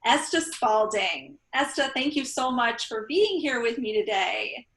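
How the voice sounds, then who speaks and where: A woman's voice: on the high side, very animated, unhurried, fairly bright tone. A woman librarian hosting a virtual interview on a video call, upbeat and enthusiastic. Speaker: youth services librarian, host. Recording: echoey room on a call.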